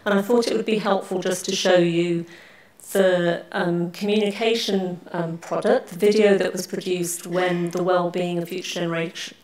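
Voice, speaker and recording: A woman's voice: on the low side, fairly even intonation, darkish tone. Woman presenting in an echoey hall. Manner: measured, with frequent "um" pauses. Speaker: conference speaker. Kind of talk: conference presentation. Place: large conference hall.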